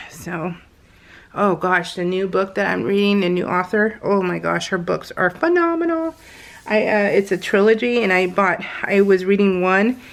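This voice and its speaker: A woman's voice: mid-range voice, gravelly. A middle-aged woman chatting casually over a craft video, gushing and slangy, telling stories with plenty of exclamations. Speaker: craft vlogger.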